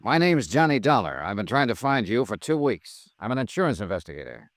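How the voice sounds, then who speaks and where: Radio detective, male actor: American, hard-boiled insurance investigator, mid-pitched, lively inflection, crisp and wry, 1950s style. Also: fairly quick, no room sound, clean studio sound, natural voice. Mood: firm, probing. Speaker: radio drama star and narrator.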